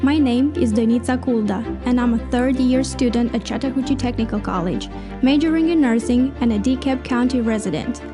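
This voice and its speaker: A woman's voice: a little high, brisk. A young woman from Moldova, introducing herself as a student in a friendly, scripted, upbeat video. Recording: video with music playing under the voice. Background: background music.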